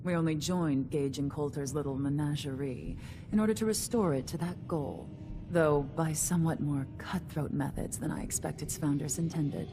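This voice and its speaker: An actress playing a haughty, calculating gang leader in a video game, refined, condescending and sardonic. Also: female voice, low voice, moderate pace, noisy background. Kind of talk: video game dialogue acting.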